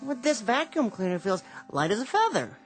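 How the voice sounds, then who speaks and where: Meek, high-voiced, stammering little salesman. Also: sing-song, normal speed, faint music behind, heavily acted. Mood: bewildered. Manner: stammering, hesitant, primly verbose. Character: meek, fussy, polite little vacuum salesman. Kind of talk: radio drama acting.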